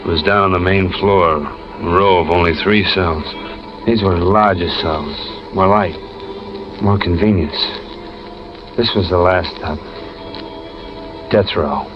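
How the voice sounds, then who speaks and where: A man's voice: sits low, takes it slowly, pauses often, noisy background. An actor playing a fearful, lonely condemned man who tells his story plainly in a 1950s radio drama. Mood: subdued. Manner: plain-spoken, confessional. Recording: muffled old radio recording with music.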